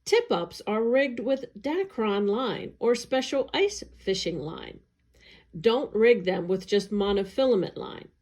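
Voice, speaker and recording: A woman reading an educational newsletter aloud in careful, clearly separated phrases. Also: medium pitch, expressive, a little slow, darkish tone. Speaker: agency staff reader.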